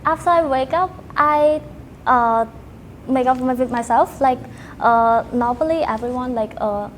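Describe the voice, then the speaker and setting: High-voiced young Cambodian woman, halting speech. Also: slight background noise. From Cambodia, Battambang province. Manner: halting, searches for words in non-native phrasing. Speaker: podcast guest, teacher and student. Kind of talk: casual interview answers.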